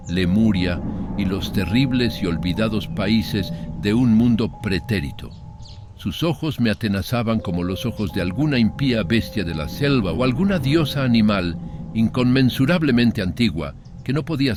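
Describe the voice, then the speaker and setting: A man's voice: on the low side, lively intonation, normal speed, over music, close to an everyday voice. An actor playing a bitter, brooding older father, telling a horror story in a solemn, ominous, literary manner. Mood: brooding, uneasy. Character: brooding, bitter older father recounting his son's marriage. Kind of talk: dramatic horror audiobook narration.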